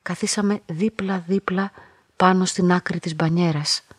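An actress playing a solitary young woman who narrates her own story in a radio drama, reflective and measured, with a husky voice. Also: lowish voice, steady tone, dry recording, studio quality. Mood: observant, pensive. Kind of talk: literary first-person dramatic narration.

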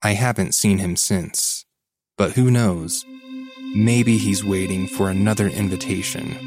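Brief: deep male narrator, measured scripted reading. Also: fairly even intonation, darkish tone, soft background music. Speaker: story narrator. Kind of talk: scripted narration of a listener's story.

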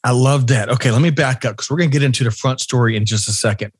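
A man's voice: medium pitch, fast talker, husky, no echo, clean studio sound. A man hosting a business podcast, upbeat and friendly, asking questions in a casual interview. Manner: upbeat, friendly, uses fillers. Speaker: podcast host.

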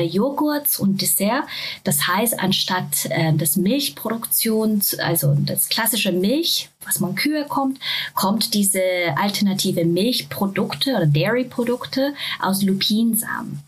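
A woman from Japan with a Japanese accent, a venture capital partner speaking halting German full of fillers and English words in a casual interview. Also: medium pitch, breathy edge.

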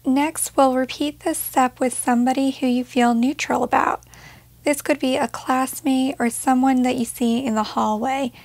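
Higher-pitched woman guiding a meditation. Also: steady tone, vocal fry, dry recording. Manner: gentle, measured, with pauses between short phrases. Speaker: guided meditation instructor. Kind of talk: guided meditation narration.

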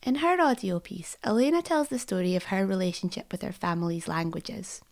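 A woman hosting a podcast, giving a measured, scripted introduction. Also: mid-range voice, gravelly, a little mellow. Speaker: podcast host.

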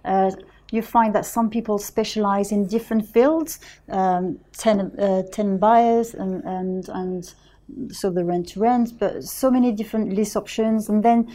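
A woman's voice: mid-range voice, fairly even intonation, a little slow, warm tone. A woman with a French accent, a guest on an interview, speaking hesitantly with many restarts. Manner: hesitant, many restarts and fillers, laughs easily. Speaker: guest, property investor. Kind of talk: casual interview chat.

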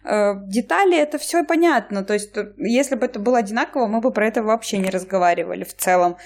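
Lively rambling woman on a podcast. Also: medium pitch, quick pace. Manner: lively, rambling, laughs often, restarts sentences. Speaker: podcast co-host. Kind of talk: casual podcast chat.

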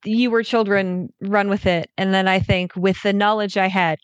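A woman from Massachusetts co-hosting a casual film discussion podcast, expressive and given to acting out characters' lines. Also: medium pitch, moderate pace, clear, resonant tone, dry recording, highs cut off. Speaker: podcast co-host.

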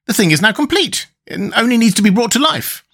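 Man playing a storybook giant insect, very high-pitched, animated, pompous, excitable. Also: brisk, exaggerated voice. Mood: admiring.

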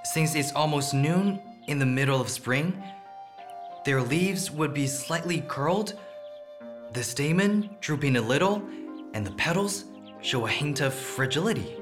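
Earnest, humble young painter. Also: male voice, fairly high pitch, expressive, unhurried, over music. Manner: deferential, earnest. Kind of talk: radio drama acting.

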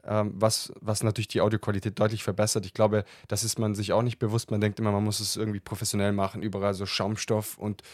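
A talkative man with a lowish voice hosts a relaxed podcast conversation. He laughs easily and keeps rambling on with his answers. The sound is close and dry, with no room around it. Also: quick pace, hardly any pauses, no echo. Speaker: podcast host. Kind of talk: casual podcast chat.

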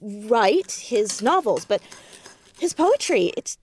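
An anxious fourteen-year-old girl sleuth in naturalistic audio drama. The voice is high and very animated, yet slow to get sentences out. She stammers defensively and restarts, easily flustered. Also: slight background noise. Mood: nervous, awkward.

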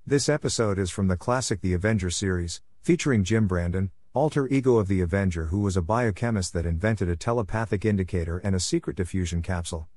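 Male host, very low voice, dry close-mic scripted intro. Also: darkish tone, no echo. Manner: friendly, reading plainly. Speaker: podcast host.

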